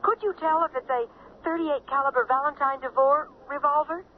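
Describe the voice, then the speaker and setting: Woman doing scared hesitant caller in radio drama, high voice, halting, tinny and bit noisy. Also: steady tone, natural voice. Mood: nervous, probing. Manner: halting, stammering. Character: hesitant, nervous woman phoning the police anonymously. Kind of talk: radio mystery drama acting. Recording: thin telephone-like old radio, faint noise.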